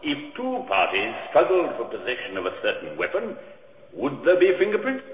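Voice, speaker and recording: A man's voice: medium pitch, wildly swooping pitch. A man playing a formal, probing defence barrister questioning witnesses in a vintage radio drama. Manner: deliberate, pointed questioning. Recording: muffled old radio recording, echoey hall.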